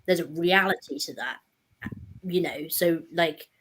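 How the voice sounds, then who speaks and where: Woman, low-ish pitch, reflective, conversational, casual chat. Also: unhurried, fairly bright tone, a little hiss or hum. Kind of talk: casual discussion.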